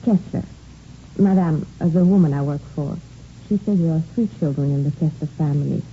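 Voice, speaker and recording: An actress playing an earnest, concerned household cook with a French accent, speaking carefully and politely in a 1950s radio drama. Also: female voice, sits low, a little fast. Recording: muffled, noisy vintage radio recording.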